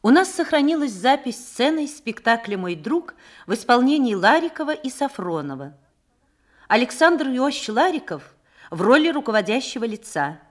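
Narrator: a woman with a raised, expressive voice, solemn and formal. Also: fairly high pitch, moderate pace. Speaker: documentary narrator. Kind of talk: scripted narration.

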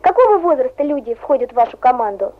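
Quick-talking woman radio journalist. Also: sits high, very animated, slight background noise. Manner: brisk, asks questions politely. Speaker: journalist interviewer. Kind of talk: radio interview. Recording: dull old radio recording.

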